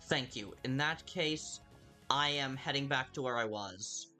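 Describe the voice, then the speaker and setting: A man's voice: medium pitch, measured pace, pushed and bright, faint music behind. A young man livestreaming a video game, chatting and reacting to viewers' messages, a little tired, with a dry mouth. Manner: chatty, reads chat aloud, mock-exasperated. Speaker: streamer playing a video game. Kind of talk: casual livestream chat.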